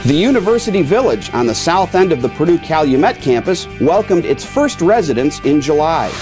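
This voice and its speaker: An American man reading scripted newsreel narration in a measured announcer's delivery. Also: a little high, very animated, rough recording. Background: music.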